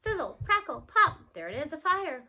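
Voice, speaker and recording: A woman giving an expressive read-aloud of a children's novel. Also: extremely high pitch, moderate pace.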